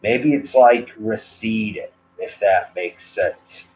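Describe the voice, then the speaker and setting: A man's voice: lowish voice, takes it slowly, a little mellow, a little hiss or hum. Rambling man with a Maine accent. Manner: meandering, loose, full of "like" and "you know". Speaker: cigar reviewer and livestream host. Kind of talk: rambling casual livestream chat. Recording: dull lo-fi livestream audio.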